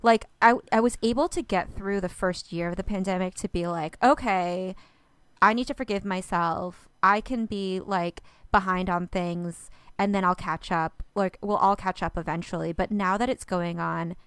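A woman's voice: on the low side, moderate pace, a bit bright, clean tone, no echo. A woman co-hosting a podcast in casual chat, talking with lots of fillers. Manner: chatty, laughs often, many fillers. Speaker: podcast co-host, author.

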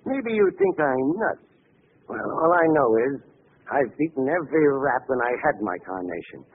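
Defiant gangster, tinny old radio. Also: male voice, medium pitch, big pitch swings, normal speed, slight background noise. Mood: vengeful, bitter. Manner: slangy tough-guy talk. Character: defiant vengeful American gangster facing execution. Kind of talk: 1940s radio acting.

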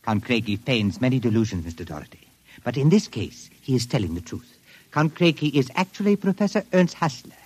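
A villainous doctor in an old radio thriller. The voice is husky and moves expressively up and down. He is formal and polite, with cold menace beneath. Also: medium pitch, big pitch swings, brisk, darkish tone. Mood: coldly smug. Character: sinister male sanitarium doctor, coldly polite accomplice to mad experiments. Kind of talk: old-time radio drama acting.

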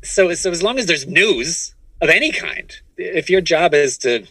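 A man talking casually as a comedian guest on a podcast, animated and often restarting his phrases. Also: fairly high pitch, a little slow, husky, dry recording. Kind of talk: casual conversational interview answers.

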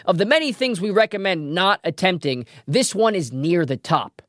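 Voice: mid-range voice, animated, moderate pace, fairly bright tone, dry recording, studio quality. A trivia show host presenting a scripted quiz in a playful way, with wry asides. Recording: music behind the voice.

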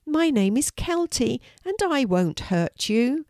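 A woman voicing a kind, encouraging young girl in a children's storybook, speaking in a gentle, reassuring way. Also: sits high, sing-song, takes it slowly, no echo, clean studio sound, pitched above the performer's own voice, heavily acted.

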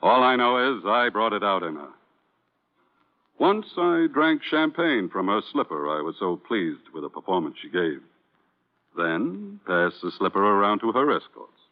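An actor playing a sardonic Broadway producer, biting and theatrical, in an old-time radio crime drama. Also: male voice, on the low side, very animated, a little slow. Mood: sardonic, wistful. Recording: tinny, band-limited vintage radio recording.

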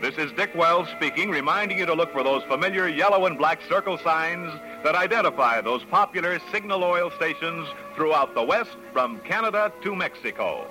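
A man's voice: on the high side, animated, normal speed, hardly any pauses, over music, rough recording. A man announcing in polished, formal 1940s radio sponsor style. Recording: vintage radio broadcast.

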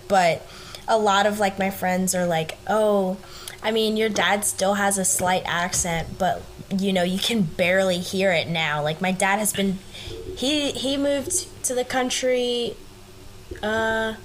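A woman's voice: mid-range voice, a bit bright, slight background noise. A young woman guest on a podcast, chatting casually and easily. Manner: chatty, quick to laugh and protest. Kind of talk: casual chat.

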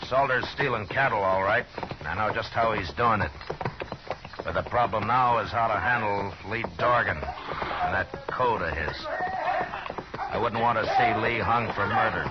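An actor playing a steady, shrewd frontier lawman in a 1950s radio Western, measured and matter-of-fact. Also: fairly low pitch, close to an everyday voice. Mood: thoughtful. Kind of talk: 1950s radio drama acting with narration. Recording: dull vintage 1950s radio recording with music.

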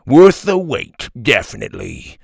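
Man acting gruff, loud, bossy pirate henchman. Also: fairly high pitch, animated, measured pace, no echo, studio quality, pitched above the performer's own voice, exaggerated voice. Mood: gleeful, bossy. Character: gruff big bald pirate crewman, bullying and boisterous. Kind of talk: children's adventure audiobook character voice.

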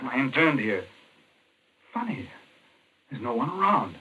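An actor playing an earnest young doctor hero in an old-time radio thriller, brisk, tense and commanding. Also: male voice, mid-range voice, big pitch swings, bright, forceful tone, slightly rough, dull audio, heavily acted. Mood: brisk, urgent. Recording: faintly noisy old radio recording.

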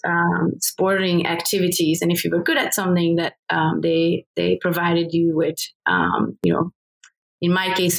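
A woman's voice: lowish voice, vocal fry, poor audio. A middle-aged woman with a Hungarian accent, an academic guest telling her story conversationally. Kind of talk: conversational personal account.

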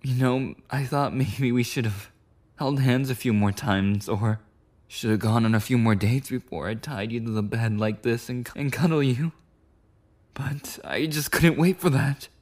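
A man's voice: medium pitch, a little slow, a little mellow, lightly acted. A man playing a clingy, possessive yandere boyfriend in an intimate ASMR roleplay monologue, rambling and cooing tenderly with lapses into whispers. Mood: infatuated, eager.

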